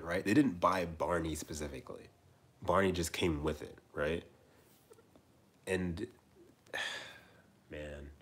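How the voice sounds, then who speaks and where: A man in his twenties hosting a casual livestream chat, rambling and repeating himself with soft trailing asides. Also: low-pitched, breathy edge. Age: 20s. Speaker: livestream host.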